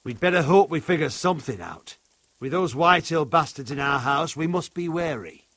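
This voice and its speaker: A loyal warrior counselling his lord in a fantasy game. The voice is tense and sharp, pitched a little high. He speaks bluntly, with grave force. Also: expressive, moderate pace, dry recording. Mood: grave, urgent. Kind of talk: dramatic video game acting.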